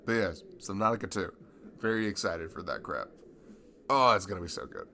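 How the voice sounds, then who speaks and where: A man playing a friendly, nerdy young guy in a casual ASMR roleplay, chatting to a childhood best friend in a halting, self-deprecating ramble. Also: sits low, lively intonation, bright, forceful tone, a little hiss or hum, full character voice. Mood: enthusiastic, geeky. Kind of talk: improvised-sounding ASMR roleplay, casual one-sided conversation.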